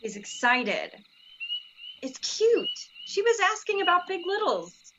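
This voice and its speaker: A woman playing an encouraging young sorority sister in a dramatic reading, gentle yet authoritative. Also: very high-pitched, big pitch swings, slow pace, lo-fi sound, background noise, heavily acted. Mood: warm, teasing.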